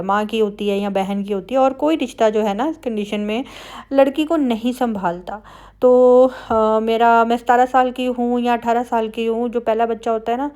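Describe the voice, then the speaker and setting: A woman's voice: medium pitch, darkish tone, smooth. Chatty woman with a Pakistani Punjabi accent. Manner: chatty, conversational. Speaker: family vlogger. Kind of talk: casual vlog voice-over.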